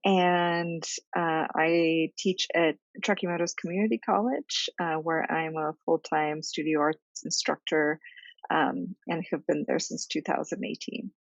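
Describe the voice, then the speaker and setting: A woman in her thirties from Bulgaria, an artist answering interview questions thoughtfully with many pauses and fillers. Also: low-pitched, steady tone, vocal fry, dry recording, dull audio. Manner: thoughtful, many ums and restarts. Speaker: visual artist and art instructor.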